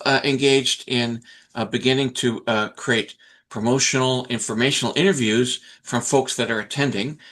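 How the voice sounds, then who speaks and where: Male host, mid pitch, halting with lots of "uh"s. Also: fairly bright tone. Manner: hesitant, many fillers and restarts. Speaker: interviewer host. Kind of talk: casual interview. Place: Vancouver Island.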